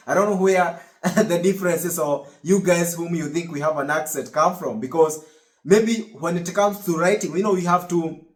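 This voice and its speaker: A young man with a Kenyan accent talks straight to his viewers, his voice sitting a little high for a man. He rambles, circles back and restarts phrases as he goes. The sound bounces around a reverberant room. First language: Kikuyu. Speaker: vlogger. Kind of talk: casual motivational talk to camera.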